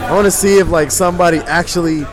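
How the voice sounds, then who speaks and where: A man's voice: high voice, fairly even intonation, fast talker, a crowd in the background. An American man commentating at an esports tournament in a casual, rambling, informal way. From United States. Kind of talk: casual banter between game commentary. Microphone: event commentary mic. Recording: music behind live tournament commentary.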